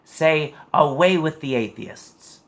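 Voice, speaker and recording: A man's voice: a little high, animated, slow pace, voice pushed up. A man voicing a reluctant Roman proconsul in a storybook, emphatic and imploring. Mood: begging. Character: Roman proconsul, male ruler, pressing and reluctant to execute.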